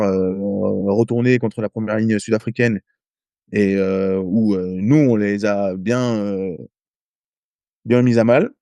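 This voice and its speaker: A French man in his thirties, a rugby player guest, telling stories in casual podcast talk, halting with many hesitations and restarts. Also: on the low side, vocal fry, dark tone, no echo, clean studio sound. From France. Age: 30s. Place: home in Ireland.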